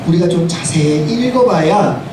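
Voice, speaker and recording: Hoarse male preacher in an echoey hall. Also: expressive, moderate pace. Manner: emphatic, asks the congregation rhetorical questions. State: hoarse from a cold. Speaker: senior pastor. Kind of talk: Sunday church sermon. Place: church sanctuary, preaching to congregation. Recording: echoey, distant, noisy room with rumble.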